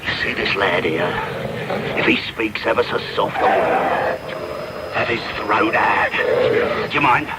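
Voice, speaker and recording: A man playing a brutal, threatening London thief in a radio drama, with a gruff Cockney voice. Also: lowish voice, unhurried, long pauses, natural voice. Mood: menacing. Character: brutal, menacing adult male thief with a dog. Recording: very noisy off-air medium wave radio recording.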